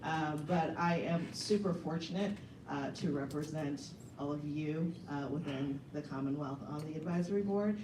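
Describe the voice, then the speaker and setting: A woman's voice: sits low, moderate pace. A woman on an advisory board introducing herself at a meeting in an upbeat, enthusiastic way. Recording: echoey room, distant mic, background noise.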